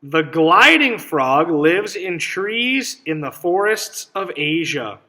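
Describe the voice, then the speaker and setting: A man reading a picture book aloud to children as a teacher, pausing to ask simple questions and explain things. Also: a little high, very animated, sharp tone. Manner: instructive, checks understanding with simple questions.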